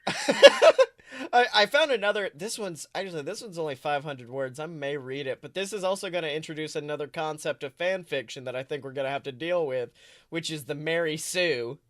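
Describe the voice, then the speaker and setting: Podcast host, mid-pitched voice, wry casual chat, very dry recording, keeps interrupting themself. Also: sing-song, a little fast, a bit bright, no echo. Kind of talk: casual chat and reading fan fiction aloud.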